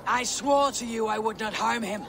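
An actor playing a stern, cunning warrior god in a fantasy video game, speaking in a formal, authoritative manner. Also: male voice, sits high, normal speed, gravelly, background noise. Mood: commanding. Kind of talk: dramatic fantasy game acting.

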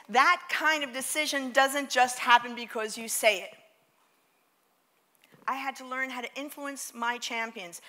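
A high, bright woman's voice with a New York accent, speaking on a large theater stage. She gives a motivational keynote with plenty of energy and pulls the crowd into call-and-response chants.

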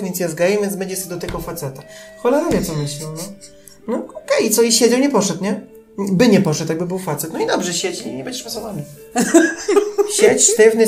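A man co-hosting a podcast in casual chat, animated and exasperated, often repeating himself. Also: sits high. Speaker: podcast co-host.

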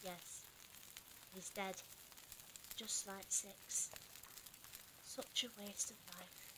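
A woman's voice: medium pitch, steady tone, drawn-out words, noisy background. A woman playing a practical, caring and level-headed character in an audio drama, firm with the others. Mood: somber.

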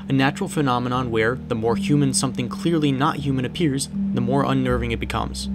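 A man's voice: medium pitch, background noise. A man from Wisconsin with an American accent, reading scripted narration for a video essay. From Wisconsin, United States. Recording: music under the voice. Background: background music.

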